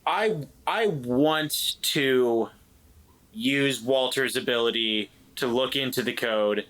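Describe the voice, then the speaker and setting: A man's voice: medium pitch, slow pace, a bit bright, low-quality recording. A man talking casually as a player in a tabletop role-play game, explaining and checking things.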